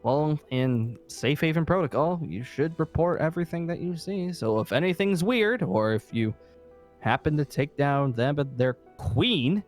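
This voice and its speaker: A man's voice: fairly high pitch, animated, unhurried, faint music behind. A man playing a weary, cautious leader of a zombie-apocalypse safe haven in an improvised game roleplay, talking hesitantly and trailing off. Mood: reluctant, instructive.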